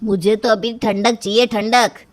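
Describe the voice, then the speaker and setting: A woman's voice: on the high side, level tone, a little slow. Woman voicing a whiny, overheated cartoon uncle. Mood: grumbling, pleading. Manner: whiny, dramatic complaining. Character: grumbling, heat-stricken adult uncle at a wedding. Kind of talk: Hindi animated comic story dialogue.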